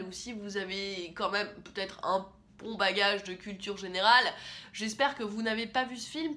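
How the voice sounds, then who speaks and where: A young woman talking casually and with strong opinions as she reviews films into a camera in a small room, often pausing on fillers. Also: fairly high pitch, sharp tone. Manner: chatty, opinionated, with frequent fillers. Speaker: film critic host. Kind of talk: casual film review vlog.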